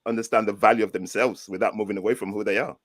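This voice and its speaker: A man's voice: fairly low pitch, fairly even intonation, rapid-fire, a bit bright, no echo. A Nigerian man co-hosting an online discussion, asking an earnest question and repeating his points for emphasis. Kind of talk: casual discussion.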